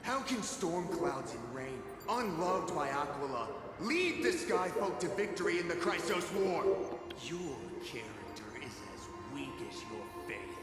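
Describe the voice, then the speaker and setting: A man's voice: on the high side, very animated, unhurried. A man voicing a haughty, mocking sun zealot with pompous, sneering theatrical delivery in a game. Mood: scornful. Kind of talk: theatrical game villain acting. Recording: cavernous reverb, music underneath.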